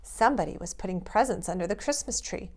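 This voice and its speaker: A woman reading a storybook aloud to young children in an expressive storytelling manner. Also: medium pitch, fast, husky.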